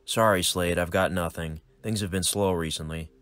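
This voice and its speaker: A man voicing a cold, menacing older crime boss who speaks slowly and quietly. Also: sits low, fairly even intonation.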